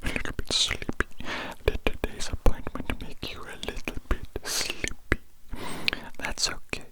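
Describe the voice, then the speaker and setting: An ASMR performer voicing a gentle, doting alien doctor in a breathy, unvoiced whisper, soothingly repeating phrases to a patient. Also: natural voice. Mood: soothing, affectionate. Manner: slow soothing repetition of phrases.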